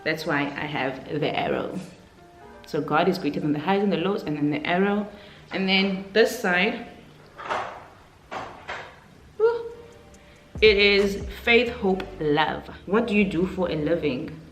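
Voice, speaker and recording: Low-pitched South African woman, roomy sound. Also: expressive, measured pace. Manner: informal, conversational, thinking aloud. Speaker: YouTuber, vlogger. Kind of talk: casual chatty question-and-answer vlog. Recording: roomy echo, faint music underneath.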